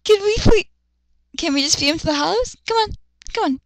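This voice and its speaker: A woman's voice: very high-pitched, lively intonation, brisk, no room sound. A woman doing a dramatic reading of fan fiction, with sarcastic, exasperated, foul-mouthed commentary.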